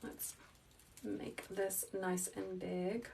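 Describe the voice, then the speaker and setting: A woman in her thirties talks through a live craft tutorial in a calm, soft, teacherly voice, chatting with her viewers. She stands in a small indoor workroom, a little back from the phone mic. A faint background noise runs under her voice. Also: medium pitch, drawn-out words, gravelly, distant mic. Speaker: wreath-making livestream host.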